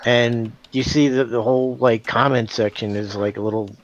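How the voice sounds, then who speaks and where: A man on a livestream panel giving casual, rambling commentary in a halting way, full of filler phrases. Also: medium pitch, steady tone, dull audio, slight background noise. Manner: rambling, halting, repeats "you know" and "like".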